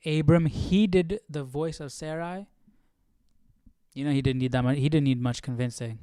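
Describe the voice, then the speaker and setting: A man's voice: a little high, fairly even intonation, moderate pace, mellow, clear, resonant tone, no room sound, clean studio sound. A man casually commenting on what he reads as a stream host, musing and questioning as he works out his thoughts. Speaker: host of a live Bible study stream. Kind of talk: casual reading commentary, thinking aloud.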